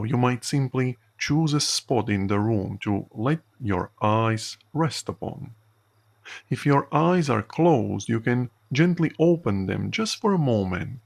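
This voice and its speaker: A man leads a guided hypnosis session in a low, gravelly voice. He speaks very slowly and soothingly, leaving frequent pauses between measured phrases. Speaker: hypnotherapist guiding a recorded session. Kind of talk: guided sleep hypnosis narration.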